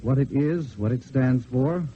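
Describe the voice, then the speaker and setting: Earnest mellow male radio spokesman. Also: medium pitch, expressive. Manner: earnest, measured, rhetorical. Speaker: spokesman delivering a church message. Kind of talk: scripted inspirational radio address. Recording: dull vintage radio recording, faint hiss.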